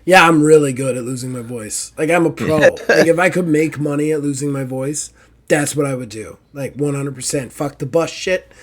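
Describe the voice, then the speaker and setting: Hoarse, joking man hosting a podcast. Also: on the high side, normal speed. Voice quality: raspy, strained throat. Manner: joking, profane, self-mocking. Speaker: podcast host. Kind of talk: casual podcast chat.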